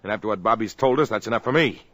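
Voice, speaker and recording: An actor playing a brash, ambitious young man, pushy and excitable, in a 1950s radio drama. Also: fairly low pitch, racing, no echo. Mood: excited.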